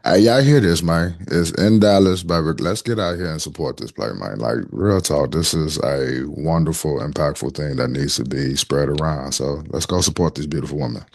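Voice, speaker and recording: A man's voice: a bass voice, normal speed, vocal fry, hardly any pauses, a little mellow, dry recording, clean studio sound. A man co-hosting a casual show, speaking in slangy, encouraging interjections like a hype man.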